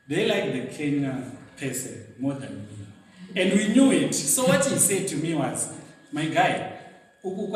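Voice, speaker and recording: A man from Zimbabwe with a Zimbabwean accent, giving an animated, storytelling motivational talk at a luncheon and laughing at his own stories. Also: medium pitch, slight background noise. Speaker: guest speaker. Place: event venue hall. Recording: very echoey hall, mic set far off.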